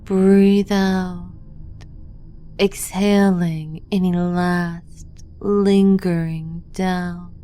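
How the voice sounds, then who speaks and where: A woman leads a guided meditation with extremely slow, deliberate phrasing. Her voice is lowish and soft-edged, dark in tone. She delivers the script in a hushed, incantatory manner. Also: little pitch movement, clear, resonant tone.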